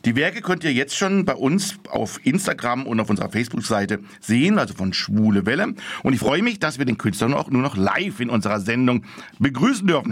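A man, a radio show host, reading a scripted introduction and then asking questions calmly. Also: medium pitch. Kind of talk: radio interview.

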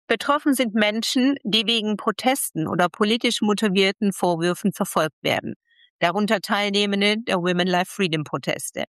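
A woman reporter co-hosting a news magazine, reading news and asking interview questions in a steady, informative way. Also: mid-range voice, normal speed, no room sound, clean studio sound. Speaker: radio reporter and co-host.

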